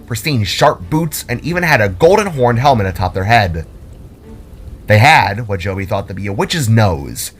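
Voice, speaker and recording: A man reading scripted fantasy story narration in a dramatic, deliberate storytelling manner. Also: mid-range voice. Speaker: author and narrator. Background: music.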